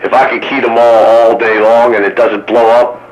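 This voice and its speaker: A man's voice: mid-range voice, level tone, normal speed, highs cut off. A man talking casually as a radio hobbyist on a CB net. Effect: CB radio transmission. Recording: light CB radio background hiss.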